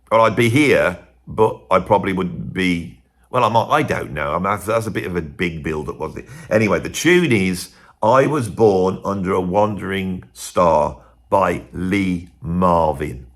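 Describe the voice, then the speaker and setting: A middle-aged man hosting a music video channel, chatting in a rambling, digressive way and speaking higher than his natural voice. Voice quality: lively, swooping pitch. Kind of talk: rambling casual monologue.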